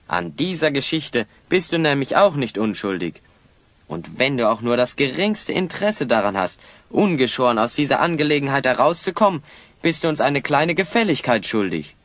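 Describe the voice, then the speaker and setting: Entschlossener Held, trockene Männerstimme. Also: male voice, medium pitch, sing-song, moderate pace, a bit bright, no echo. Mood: stern. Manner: firm, articulate, commanding. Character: resolute, fair-minded male hero and leader Feuermähne. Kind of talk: children's radio play acting.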